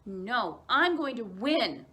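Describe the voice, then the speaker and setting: An eager young moose girl, put on by a woman reading a storybook. She is bouncy, playful and determined, with a lively pitch that jumps upward. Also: slow, heavily acted. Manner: excitable, bouncy delivery.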